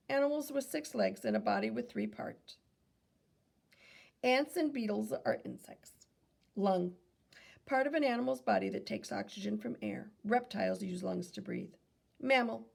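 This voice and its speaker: A woman reads a children's fact book aloud in a medium-pitched voice with noticeable vocal fry. She sounds like a teacher, posing questions, adding asides and correcting herself as she goes. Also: darkish tone. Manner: teacherly, asks questions and answers them, self-correcting. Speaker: read-aloud reader and teacher.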